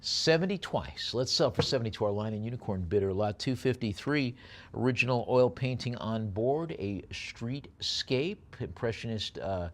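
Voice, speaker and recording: A man working as an auctioneer at a live sale, moving between repetitive bid chanting and descriptions of the lots. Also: on the low side. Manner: rapid repeated bid chant alternating with unhurried item descriptions.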